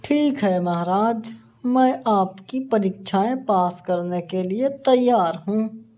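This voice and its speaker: A woman's voice: medium pitch, slow, mellow, dull audio, lightly acted. A woman voicing a clever, cheeky male monkey in a children's cartoon, mock-polite and playful. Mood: placating. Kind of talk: animated children's story dialogue.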